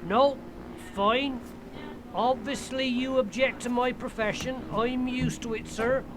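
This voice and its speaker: A high-voiced, aggrieved workman. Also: fairly even intonation, slow, background noise. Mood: wounded. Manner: martyred, wounded politeness. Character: aggrieved, self-pitying, servile workman of lowly trade. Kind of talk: adventure game voice acting. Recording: game music behind the voice.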